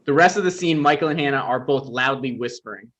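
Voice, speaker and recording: A man's voice: mid-range voice, fast talker, fairly bright tone. A man giving scripted narration, reading out the stage directions for a table read.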